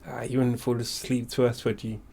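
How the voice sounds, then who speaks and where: A man co-hosting a casual podcast chat, laid-back and quick to agree. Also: mid-range voice, little pitch movement, creaky, mellow. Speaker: podcast co-host. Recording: faint background noise.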